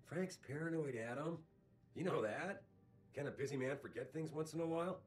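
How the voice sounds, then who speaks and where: An actor playing an evasive, breezy corporate boss in a video game, deflecting and persuasive. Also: male voice, a little high, normal speed, close to an everyday voice. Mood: defensive, smooth. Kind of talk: video game cutscene acting.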